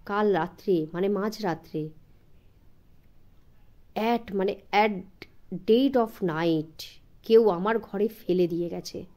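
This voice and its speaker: Woman voicing a nervous, fussy, hesitant gentleman. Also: medium pitch, slow pace, long pauses, pitched below the performer's own voice, natural voice. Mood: hushed, uneasy. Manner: hesitant, stammering, filler-laden, peppered with English.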